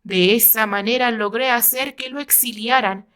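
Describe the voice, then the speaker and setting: A woman voicing an obsessed, bitter gentleman, high-pitched. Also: fairly even intonation, normal speed, natural voice. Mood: bitter confession. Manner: dramatic, anguished, accusing. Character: obsessed, bitter gentleman confessing to dark deeds. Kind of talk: dramatic audiobook acting.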